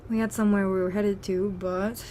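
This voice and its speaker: A woman's voice: mid-range voice, flat delivery, a little fast, dark tone, slight background noise, close to an everyday voice. A woman playing a tough, pragmatic young woman in an audio drama, blunt and challenging. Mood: confrontational.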